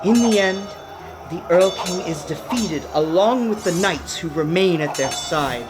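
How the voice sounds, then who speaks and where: A woman giving dramatic, deliberate scripted narration for a radio play. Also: lowish voice, animated.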